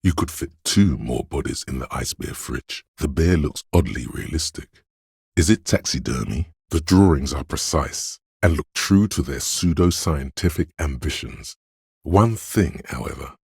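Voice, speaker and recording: An actor voicing a detective's inner sense of sight in a video game, calm and observant, narrating in measured, literary lines with a dry wit. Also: male voice, no room sound, heavily acted. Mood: clinical, observant. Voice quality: very deep, gravelly, husky. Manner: measured, deliberate, dryly wry.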